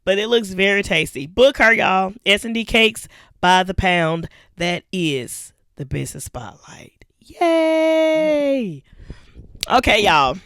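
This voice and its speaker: A hoarse woman co-hosting a podcast, chatting casually with laughs and slow, drawn-out lists. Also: mid-range voice, sing-song, fairly bright tone, no room sound, clean studio sound. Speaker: podcast co-host. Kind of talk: casual chat.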